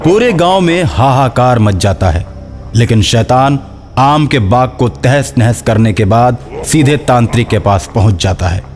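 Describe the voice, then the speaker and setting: A man's voice: medium pitch, expressive, measured pace, a little mellow. A man narrating a scripted horror folk story as a storyteller. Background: faint music.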